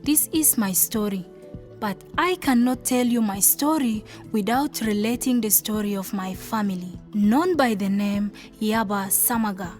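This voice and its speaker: Woman acting shy dreamy young wife, highish voice, earnest radio drama, bit of music. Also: darkish tone, close to an everyday voice. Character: timid, dreamy young South Sudanese village wife, narrator. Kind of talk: radio drama narration and acted dialogue.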